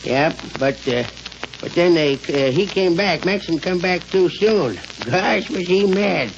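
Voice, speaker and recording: High-voiced rustic American hick. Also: male voice, lively intonation, slow, gravelly, full character voice. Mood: gossipy. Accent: rural American. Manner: chatty, hesitant, colloquial. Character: folksy, talkative, gullible backcountry gas station man. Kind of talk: 1930s American radio acting. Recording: hissy, crackling muffled 1930s radio recording.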